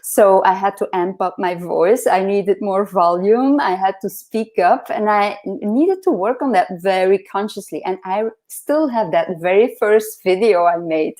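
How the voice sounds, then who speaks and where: A soft-spoken woman hosting a casual interview over a video call, earnest and reflective, laughing often. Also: mid-range voice, unhurried, hardly any pauses. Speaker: host.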